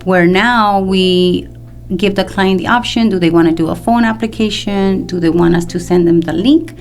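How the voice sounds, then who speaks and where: A woman's voice: medium pitch, normal speed, darkish tone. A woman in her fifties from El Salvador, talking conversationally and explaining at length as an interview guest. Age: 50s. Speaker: mortgage loan professional, podcast guest. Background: music in the background.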